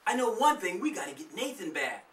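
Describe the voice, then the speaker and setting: A woman's voice: medium pitch, sing-song, a little fast, pitched above the performer's own voice, natural voice. A woman voicing a bossy but easily scared young girl in a children's story, recorded roomily at a distance. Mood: determined, angry. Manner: insistent, scolding. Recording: roomy, distant microphone.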